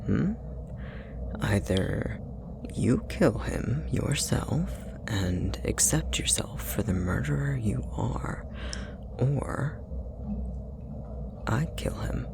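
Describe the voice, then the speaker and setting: Manipulative demon, played by a male actor for whispered ASMR roleplay: very gravelly, unhurried, sweetly threatening. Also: mid-range voice, lively intonation, full character voice. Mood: threatening, playful. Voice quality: breathy, creaky whisper. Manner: slow, taunting, mock-affectionate. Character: menacing, possessive male puppetry demon, sadistic and manipulative.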